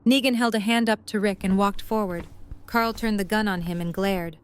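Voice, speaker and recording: A woman's voice: medium pitch, steady tone, normal speed, clean tone. A woman narrating a scripted story as the narrator of an audio drama.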